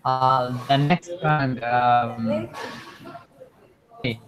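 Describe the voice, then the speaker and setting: A man teaching an online English class, speaking slowly and patiently, often repeating himself. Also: mid-range voice, fairly even intonation, a crowd in the background, poor audio. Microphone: computer mic on a video call.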